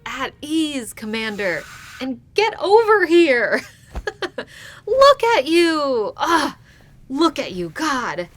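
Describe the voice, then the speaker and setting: An actress playing a warm but commanding female starship captain in a sci-fi audio drama, teasing and authoritative. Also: a little high, big pitch swings, very slow, exaggerated voice. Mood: fond, teasing.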